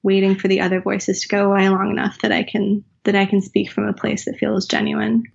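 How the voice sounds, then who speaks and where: A woman's voice: mid-range voice, completely flat delivery, fairly quick, gravelly, a little hiss or hum. A woman writer and teacher from the United States, answering interview questions in a thoughtful, searching way. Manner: thoughtful, searching, with restarts and filler. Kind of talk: reflective conversational interview. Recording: dull lo-fi recording.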